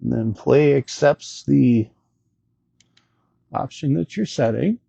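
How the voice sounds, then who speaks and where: A man hosting a casual product demonstration in a folksy, unhurried way, with hesitant asides. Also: medium pitch, vocal fry, warm tone, slightly rough, no room sound, highs cut off. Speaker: gadget review channel host.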